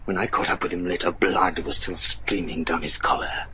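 An actor playing an earnest, troubled young man in a gothic radio play, speaking in a grave, formal, measured manner. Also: low voice, steady tone, bright, forceful tone, slight background noise. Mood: grave, haunted. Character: earnest, troubled young man recounting his friend's fate. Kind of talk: dramatic storytelling in radio play.

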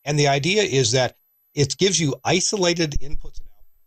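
A man's voice: fairly high pitch, normal speed, no echo. A man giving an informal technical explanation.

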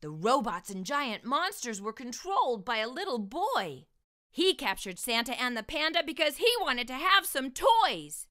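A middle-aged woman hosting a children's storytime, speaking in an animated, expressive way to young readers. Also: high voice, normal speed. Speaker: host of children's read-aloud show. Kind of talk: children's storytime read-aloud and presenting. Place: small furnished room indoors. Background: music.

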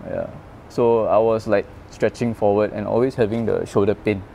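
A man's voice: fairly low pitch, normal speed, vocal fry, slight background noise. A man who grew up in Malaysia, speaking, chatting casually about his road bike in an open parking garage at night, full of fillers and restarts. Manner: chatty, animated when telling stories, many fillers and restarts. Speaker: bike owner, guest. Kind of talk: casual interview chat.

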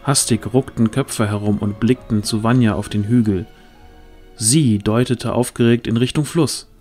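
A man's voice: on the low side, normal speed, dark tone. A man narrating a fantasy audio drama as a scripted storyteller. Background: music.

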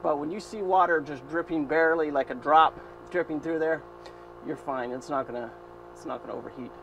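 Man, fifties, boat owner narrating his own repair, somewhat high voice, loud engine noise behind. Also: a little fast, creaky. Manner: plain practical talk with fillers, thinking aloud while working. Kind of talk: hands-on repair walkthrough. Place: cramped boat engine room. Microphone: action camera mounted in the engine room. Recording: noisy engine room. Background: running boat engine.

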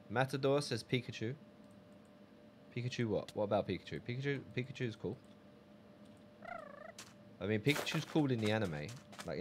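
A man's voice: on the low side, background noise. A man chatting casually on a game live stream, reading viewer messages aloud.

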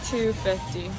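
A young woman in her twenties, chatting casually and narrating to a handheld phone camera while grocery shopping. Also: a little high. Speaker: family vlogger. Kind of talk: casual chat. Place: large grocery store and its parking lot. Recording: noisy store and parking lot.

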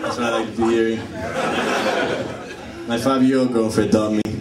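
Young Puerto Rican comic, Spanish-accented English, playful crowd work, roomy distant live sound. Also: male voice, fairly low pitch, little pitch movement, normal speed. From Puerto Rico. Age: young adult. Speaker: stand-up comedian. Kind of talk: stand-up comedy set. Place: comedy club stage. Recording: echoey live room from a distance. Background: laughing audience.

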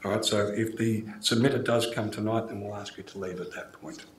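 Low-voiced older Australian man, echoey room. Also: fairly even intonation, brisk. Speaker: mayor chairing council meeting. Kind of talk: formal scripted meeting address. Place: indoor council chamber. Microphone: gooseneck desk microphone. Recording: echoey room, clear background noise.

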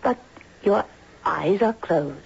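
An elderly widow in a vintage radio play, genteel and hesitant. Her low voice has a marked creak and she sounds anxious but dignified. The recording is dull with faint hiss. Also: animated, takes it slowly, darkish tone, heavily acted. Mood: tentative. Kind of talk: 1970s radio drama acting. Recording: muffled noisy 1970s radio recording.

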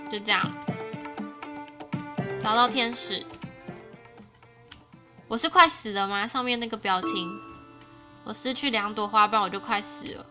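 A woman giving casual, expressive let's play commentary as host of a gaming video. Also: mid-range voice, unhurried, long pauses. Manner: expressive, exclaiming reactions. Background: game music.

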